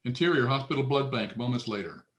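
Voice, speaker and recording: A man's voice: mid-range voice, animated, quick pace. A man narrating scripted stage directions aloud at a screenplay table read.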